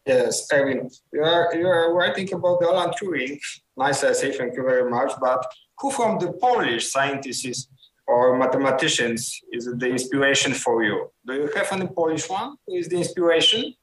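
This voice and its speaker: A man's voice: mid-range voice, animated, fairly bright tone. Man questioning from an echoey room. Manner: halting, repeats words. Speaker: interview panel member. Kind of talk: asking interview questions. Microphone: video call. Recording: roomy echo on call audio.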